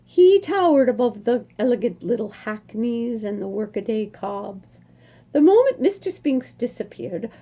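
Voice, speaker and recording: A woman reads a picture book aloud to children, taking her time over each phrase. Her voice is soft and mellow, with no edge to it. She talks in a lively, sing-song way, as librarians do at story time. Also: fairly high pitch. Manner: slow, expressive storytelling.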